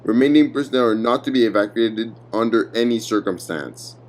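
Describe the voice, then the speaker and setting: A man giving a formal, measured scripted narration of a clinical document. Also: medium pitch.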